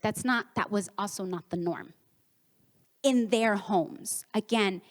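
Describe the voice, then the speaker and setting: Woman in her 30s, native Spanish speaker, relaxed presenter who acts out quotes; reverberant hall. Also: medium pitch, takes it slowly. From United States, Mexican home. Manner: warm conversational with acted-out quotes and laughs. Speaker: therapist giving a conference talk. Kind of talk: conference presentation. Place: conference hall.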